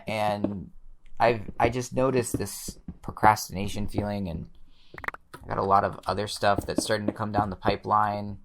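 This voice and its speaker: A young man with a slightly hoarse voice, quietly talking through his gameplay, often pausing and restarting his sentences. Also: lowish voice, background noise. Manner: thoughtful, pauses and restarts sentences; soft-spoken, many fillers. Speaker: let's play host. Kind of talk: relaxed gameplay commentary.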